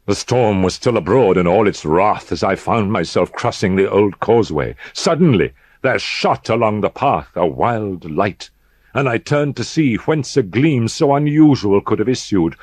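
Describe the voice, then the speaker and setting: A man narrating a gothic horror audiobook in a grave, measured, dramatic manner with deliberate pauses for emphasis. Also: on the low side, no room sound.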